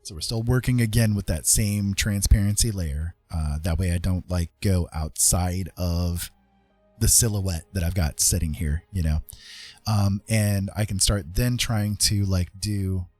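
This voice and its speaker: Low-voiced man musing aloud, music behind. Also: darkish tone. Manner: thinking aloud, rambling, halting. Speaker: livestream host, digital artist. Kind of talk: casual chat while drawing on a livestream. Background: background music.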